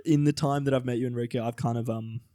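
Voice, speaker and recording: A man's voice: medium pitch, steady tone, quick pace, dark tone. A man with an Australian accent improvising a comedy scene on a podcast, talking awkwardly with many hesitations and fillers. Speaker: podcast co-host. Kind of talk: improvised comedy role-play.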